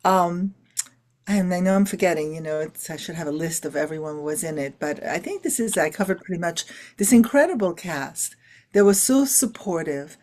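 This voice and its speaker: Brief: middle-aged woman, lowish voice, chatty interview guest, animated and heartfelt. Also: normal speed. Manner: expressive, warm about family, frequent fillers. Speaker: filmmaker and actress being interviewed. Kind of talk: casual interview talk.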